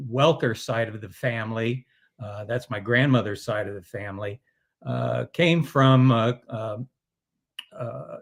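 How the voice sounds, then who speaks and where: An older man answering interview questions as a guest, halting and full of filler sounds. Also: mid-range voice.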